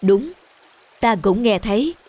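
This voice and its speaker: An actor playing a calm, patient old master in a cartoon folktale, measured and kindly. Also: male voice, high voice. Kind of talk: cartoon voice acting.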